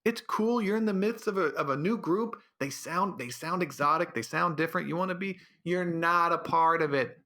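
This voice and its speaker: A man's voice: on the high side, normal speed, hardly any pauses, sharp tone. A man hosting a solo podcast, delivering a sarcastic, rambling comedic rant. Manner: sarcastic, rambling, drops into mocking voices. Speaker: podcast host.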